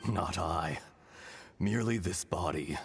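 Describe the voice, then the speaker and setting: Ancient, solemn, deep-voiced dragon spirit. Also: male voice, takes it slowly, vocal fry, music in the background, full character voice. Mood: solemn, calm. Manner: grave, formal, philosophical. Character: ancient solemn dragon spirit speaking through a man's body. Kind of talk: dramatic video game voice acting.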